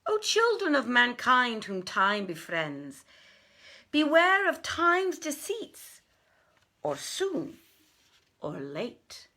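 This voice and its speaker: A woman voicing a wise male wazir who gravely counsels his king in formal, emphatic, declamatory storybook language. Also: fairly high pitch, wildly swooping pitch, extremely slow, sharp tone, heavily acted. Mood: solemn warning. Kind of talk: storybook reading of an old tale.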